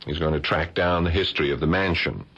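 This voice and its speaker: A man's voice: very deep voice, steady tone, fast, fairly bright tone, husky, heavily acted. A man playing a puzzled, insistent art professor in a vintage radio drama. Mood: puzzled, earnest. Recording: dull, lo-fi old radio recording.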